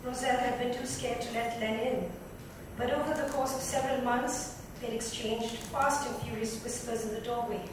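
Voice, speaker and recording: High-pitched woman reading in an echoey room. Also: steady tone, moderate pace. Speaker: book reader. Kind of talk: dramatic reading of literary fiction. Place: indoor club lounge. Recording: echoey room, distant mic, background noise.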